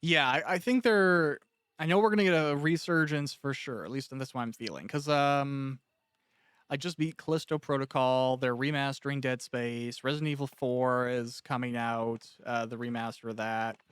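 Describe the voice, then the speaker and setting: Male podcast interviewer, smooth and crisp, informal and talkative, medium pitch. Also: fairly even intonation, normal speed, fairly bright tone, no echo, studio quality. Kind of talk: casual interview chat.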